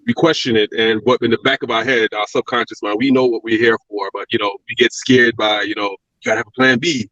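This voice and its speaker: A man with a Brooklyn accent talks quickly and reflectively, circling back with fillers as he tells his story. The sound is dry and close, with a dull, narrowed lo-fi quality. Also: medium pitch, fairly even intonation, fairly bright tone, dry recording. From Brooklyn, New York. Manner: reflective, rambling with restarts and fillers. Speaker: guest, former pro wrestler. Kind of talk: casual interview talk.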